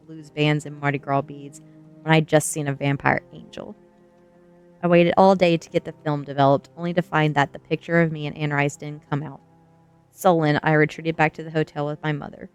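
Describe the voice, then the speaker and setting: A woman streamer with a soft, gentle delivery, reading a book aloud in an ASMR style and chatting casually to viewers. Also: sits low, clear, resonant tone. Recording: quiet music behind the voice. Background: faint background music.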